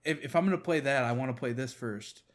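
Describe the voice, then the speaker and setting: Casual male podcast co-host, mid pitch. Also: fast talker. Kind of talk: casual chat about games.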